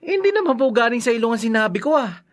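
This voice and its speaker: A man's voice: very high-pitched, sing-song, fast talker. A man with a Filipino accent playing a flippant, happy-go-lucky young father in a radio drama. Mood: wheedling, flippant. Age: young adult. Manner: wheedling, flippant.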